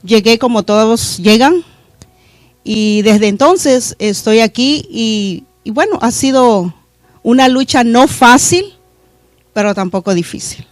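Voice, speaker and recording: A middle-aged woman pastor from Honduras, reflecting earnestly on her faith in a studio interview, pausing with fillers. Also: fairly high pitch, animated, dry recording, faint music behind. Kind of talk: testimony interview. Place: church media studio.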